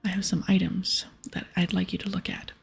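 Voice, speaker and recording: An earnest adventurer from a fantasy game, voiced by a woman. The voice is very breathy, often a whisper, with slight creak. The manner is polite and persuasive. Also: lowish voice, flat delivery, a little fast, faint music behind, exaggerated voice. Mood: persuading. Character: earnest adventurer investigating a crime.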